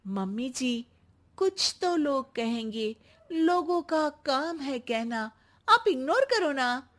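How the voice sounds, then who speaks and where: A young cartoon daughter-in-law, played by a woman, with a high voice. She pleads and protests readily, in the manner of a family story. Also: big pitch swings, takes it slowly, fairly bright tone, heavily acted. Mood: soothing.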